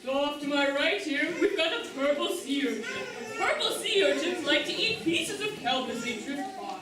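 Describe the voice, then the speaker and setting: A woman's voice: sits high, lively intonation, moderate pace. A woman playing an upbeat manta ray schoolteacher in a children's puppet show, enthusiastic and encouraging as she projects to young listeners. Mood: cheerful, encouraging. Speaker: puppet show teacher and presenter. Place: large echoey room with live audience. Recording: echoey hall, distant, audience crowd behind.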